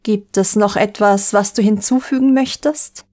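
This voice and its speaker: A woman playing a calm researcher in an audio drama, measured and official. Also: medium pitch, soft background music, natural voice. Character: calm female researcher questioning a test subject.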